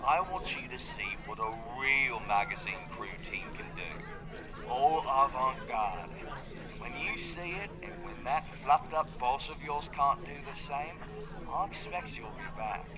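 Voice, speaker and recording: A man's voice: medium pitch, lively intonation, crowd noise, close to an everyday voice. A man playing a cocky, flirtatious magazine rival with a smug, swaggering manner in an audio roleplay. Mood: smug flirting. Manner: smug, swaggering, drawn-out delivery. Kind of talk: scripted audio roleplay acting.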